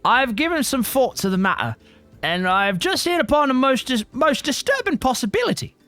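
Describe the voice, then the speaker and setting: A man's voice: very high-pitched, sing-song, normal speed, sharp tone, soft background music, voice pushed up, heavily acted. A man voicing an anxious, earnest video game character who frets in formal, exclamatory speech. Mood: alarmed. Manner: fretful, exclamatory, formal wording. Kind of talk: reading video game dialogue aloud.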